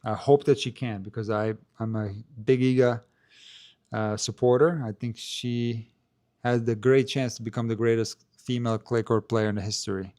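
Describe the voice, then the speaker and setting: A man with a low, soft voice hosts a live stream, answering viewer questions in a chatty, loose way. He hedges often, restarts sentences and fills gaps with "like" and "you know". The handheld mic held close keeps his voice near and clear. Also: steady tone, moderate pace. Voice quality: low, not strong voice. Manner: chatty, hedging, many fillers and restarts. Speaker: tennis channel host. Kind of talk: live stream Q&A, casual chat. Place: indoors, talking to camera.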